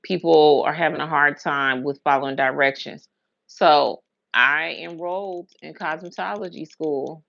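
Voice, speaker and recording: American woman, low crackly voice, unhurried pace, casual livestream storytelling, chatty, repeats for emphasis, dry slightly muffled sound. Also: creaky, a bit bright, dry recording. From Huntsville, Alabama and Brooklyn, New York, USA. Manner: chatty, colloquial, emphatic repetition. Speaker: livestream host, hairstylist. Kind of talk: casual livestream chat, rambling personal storytelling.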